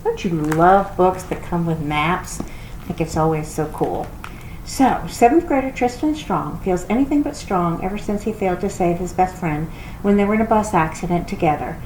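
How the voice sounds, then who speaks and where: A woman giving an expressive storybook read-aloud, like a children's library reader. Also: fairly low pitch, normal speed. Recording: roomy echo with clear background noise.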